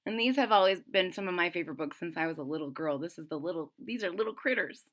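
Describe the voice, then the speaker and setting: A woman reading a picture book aloud to children, expressive and chatty, adding friendly asides between the pages. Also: on the low side, fast talker. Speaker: storybook reader.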